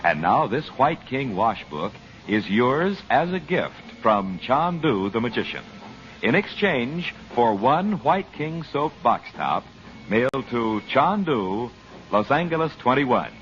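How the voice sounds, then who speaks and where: A man's voice: medium pitch, sing-song, slow pace, fairly bright tone. A man announcing a sponsor's offer in scripted 1940s radio style. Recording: muffled old radio recording.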